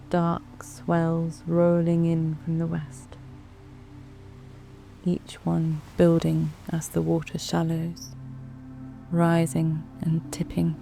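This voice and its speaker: A woman with a British accent narrating a guided sleep meditation in a soft, calm, soothing way, with long measured pauses. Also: fairly low pitch, gravelly. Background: background music.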